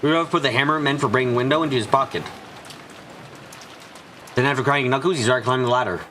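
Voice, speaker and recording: A man's voice: medium pitch, fast, bright, forceful tone, slight background noise. A man reading a visual novel aloud as a live fan dub on a stream. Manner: switches between character voices while reading. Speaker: let's play streamer.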